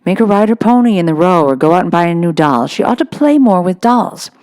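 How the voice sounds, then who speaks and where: A woman's voice: on the low side, lively intonation, fairly quick, a little mellow, natural voice. Woman reading as a fond, indulgent young father. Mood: fond, amused. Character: fond, cheerful young soldier father, a man.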